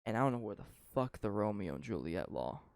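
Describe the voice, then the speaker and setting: A man's voice: fairly low pitch, big pitch swings, a little fast, vocal fry, darkish tone, no echo. A nineteen-year-old man from Canada, co-hosting a podcast in casual, profane, rambling opinion chat. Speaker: podcast co-host.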